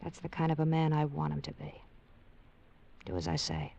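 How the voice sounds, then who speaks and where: A woman's voice: sits low, little pitch movement, moderate pace, creaky, mellow, highs cut off, heavily acted. Hard, imperious woman crime boss. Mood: cold, commanding. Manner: curt, sardonic, commanding. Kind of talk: 1940s radio drama acting. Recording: old radio broadcast with music underneath.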